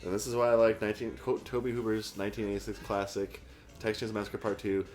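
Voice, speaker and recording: A man's voice: fairly low pitch, level tone, fast talker, a little mellow, soft background music. A man joking around in a casual movie podcast chat, often trailing off mid-sentence.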